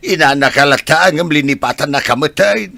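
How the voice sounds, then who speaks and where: A man's voice: fairly high pitch, fairly quick, gravelly, pushed and bright, no echo, exaggerated voice. A man playing a grumpy, dismissive old grandfather with an Ilocano accent, grumbling bluntly in a radio drama. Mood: irritated.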